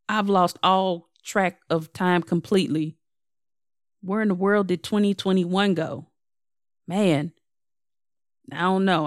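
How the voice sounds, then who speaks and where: Slightly hoarse woman hosting a chatty podcast. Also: lowish voice, no room sound, studio quality. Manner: chatty, candid, self-deprecating. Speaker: podcast host. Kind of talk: casual solo podcast update.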